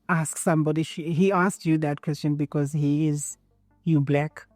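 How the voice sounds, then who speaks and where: Zimbabwean woman, low mellow voice. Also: dry recording. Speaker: interviewee. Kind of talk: documentary interview.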